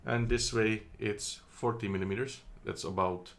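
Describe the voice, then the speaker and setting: Casual low-voiced man reviewing a gadget. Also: steady tone, normal speed. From Netherlands. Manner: chatty, hesitant, many ums and self-corrections. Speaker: product reviewer. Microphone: phone camera.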